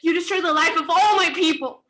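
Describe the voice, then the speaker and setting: A woman's voice: very high-pitched, brisk, pushed and bright. A woman playing an anguished, accusing author, impassioned, in a dramatic table read of a stage play. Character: anguished, accusing female author confronting a tyrant.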